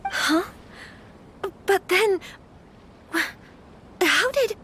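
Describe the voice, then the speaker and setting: A delicate young noblewoman from a video game, talks slowly in a high, expressive voice. She is earnest, polite and hesitant. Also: slight background noise, full character voice. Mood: flustered. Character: gentle, earnest young noblewoman, anxious bride-to-be. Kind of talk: scripted video game dialogue. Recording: music playing behind the voice.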